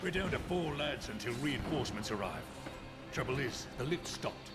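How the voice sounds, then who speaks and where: A male actor performs an anxious rank-and-file soldier from a fantasy game. His plain, colloquial speech swings in pitch. Loud music plays behind him. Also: moderate pace, natural voice. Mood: relieved, anxious. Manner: animated, colloquial. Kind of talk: video game character acting.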